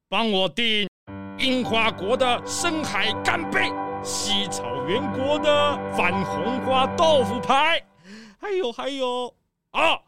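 An actor playing a pompous, boastful rich crocodile gentleman in a children's audio drama, with theatrical, drawn-out exclamations. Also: mid-range voice, full character voice. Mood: musing, grand. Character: pompous, showy rich crocodile gentleman throwing his birthday banquet.

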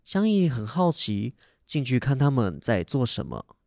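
A young man narrates a children's story from a script. His intonation is lively and swings widely. The recording is close and dry. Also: mid-range voice, measured pace, no echo. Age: young adult.

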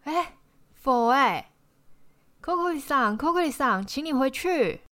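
A woman's voice: high voice, animated, unhurried, a bit bright, voice pushed up. A woman playing a bold, thrill-seeking schoolchild in an amateur audio drama, insistent and directing the others.

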